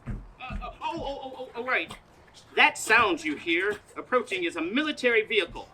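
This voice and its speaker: A woman's voice: a little high, sing-song, moderate pace, bright, forceful tone, slight background noise. A woman playing an earnest, flustered radio news reporter in a comedic stage radio play, recorded outdoors live. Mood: flustered, grandiose. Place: outdoors, parking lot stage.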